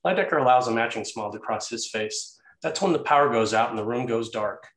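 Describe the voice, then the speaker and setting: Male narrator for a table read: mid-pitched, scripted stage directions, echoey room sound. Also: a little fast, fairly bright tone.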